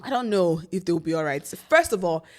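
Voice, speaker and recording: A woman's voice: fairly low pitch, brisk. A young woman co-hosting a podcast, talking expressively in casual chat. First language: not Igbo. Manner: expressive, laughs often. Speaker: podcast co-host.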